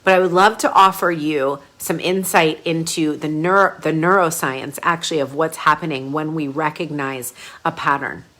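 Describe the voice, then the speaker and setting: A woman's voice: low-pitched, moderate pace. Gushing woman coaching a live group. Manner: effusive, gushing bursts of excitement; casual, chatty, with frequent fillers. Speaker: brand growth coach.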